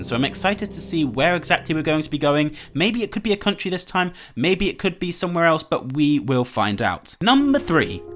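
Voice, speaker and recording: A man's voice: on the high side, fairly bright tone. A young man with a congested, nasal voice from a cold, presenting gaming commentary straight to his viewers. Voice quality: nasal, bunged up. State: congested with a cold, sore throat. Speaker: gaming YouTuber presenting a countdown. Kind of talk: upbeat YouTube gaming commentary. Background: background music.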